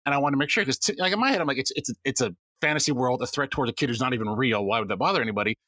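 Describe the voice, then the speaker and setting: Fast talking gm guy, bright voice, acts out bits of what he asks players. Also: medium pitch, no echo, clean studio sound. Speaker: game master of a podcast. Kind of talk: casual panel discussion.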